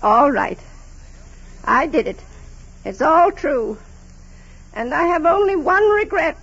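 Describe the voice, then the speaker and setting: Creaky, high-pitched confessing culprit. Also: sing-song, slow, a bit bright, exaggerated voice. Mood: bitter confession. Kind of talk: 1940s radio acting. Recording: muffled old-time radio broadcast.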